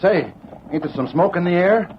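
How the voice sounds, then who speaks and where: A man's voice: sits high, animated, moderate pace. A man playing a plain, loyal frontier cowboy with a folksy American Western drawl in a radio drama. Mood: earnest. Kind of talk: old-time radio western acting. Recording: muffled, slightly noisy old radio recording.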